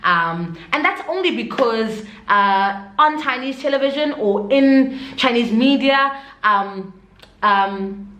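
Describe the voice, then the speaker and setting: A woman's voice: fairly high pitch, slow. South African woman chatting in an echoey room. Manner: animated, expressive storytelling with Zulu interjections. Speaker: vlogger, expatriate teacher. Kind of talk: casual chat to camera.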